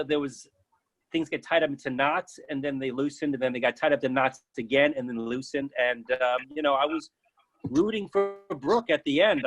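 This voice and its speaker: A man's voice: medium pitch, low-quality recording. A man taking part in a play reading, reading directions and chatting in plain words. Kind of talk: stage-direction reading and casual feedback.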